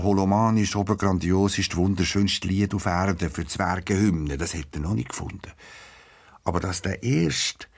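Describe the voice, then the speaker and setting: A man's voice: lowish voice, creaky, husky. A man with a Swiss German accent narrating a scripted story for children.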